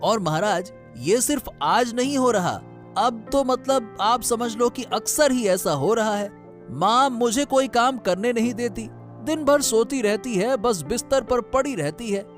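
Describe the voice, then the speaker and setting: A man's voice: very high voice, expressive, measured pace. A man plays a harried courtier son in a cartoon moral tale. He fusses and complains in a plaintive voice, with music playing underneath. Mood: deferential, aggrieved. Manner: plaintive, fussing. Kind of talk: Hindi cartoon moral-story dubbing.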